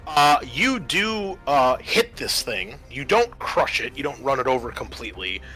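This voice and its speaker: A man's voice: mid-range voice, very animated, a little slow, bright, forceful tone, faint music behind, low-quality recording. A man acting as game master, narrating a tabletop roleplaying game in a conversational way.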